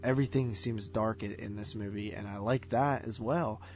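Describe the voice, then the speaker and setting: A man co-hosting a movie podcast, reviewing films casually in a halting way, thinking aloud and qualifying as he goes. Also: fairly low pitch, steady tone, a little mellow. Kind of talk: casual film review chat.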